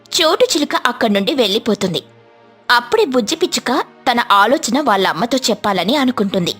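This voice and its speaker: A woman delivers scripted narration for a children's story. She takes the role of storyteller, and soft music plays faintly behind her voice. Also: on the high side, very animated, quick pace, husky.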